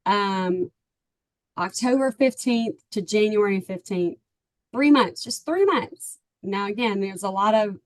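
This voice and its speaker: A woman's voice: medium pitch, dry recording. A middle-aged woman with a Texan accent, telling her story as an interview guest in a chatty, earnest way, full of ums and "right?". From Texas, USA. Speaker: parent of a baseball player, client guest.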